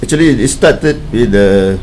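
Man, from Malaysia, low voice, halting English, frequent fillers, faint ambience. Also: animated, a little mellow, a little hiss or hum. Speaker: guest, NGO president. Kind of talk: radio interview answer.

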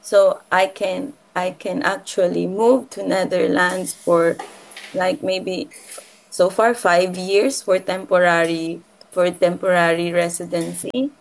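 A woman from the Philippines with a Filipino accent and Filipino as her first language, talking casually and haltingly, often repeating and rephrasing her phrases. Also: lowish voice, flat delivery, vocal fry, rough recording. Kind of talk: casual conversational personal talk.

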